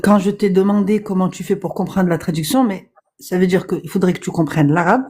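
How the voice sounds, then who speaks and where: Call-in guest, mellow husky voice, patient, explains with many fillers. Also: medium pitch, fairly quick. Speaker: caller on a live stream. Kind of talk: casual debate on a live stream.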